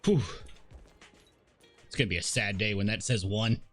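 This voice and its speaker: A man's voice: fairly low pitch, moderate pace, a bit bright. A man livestreaming a game in a casual, irreverent way, slangy and sweary as he reads dialogue and reacts. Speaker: streamer. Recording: game music behind the voice.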